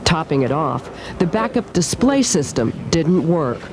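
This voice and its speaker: A woman investigative TV reporter narrating a news story in a measured, pointed way. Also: deep voice, sing-song, crowd noise.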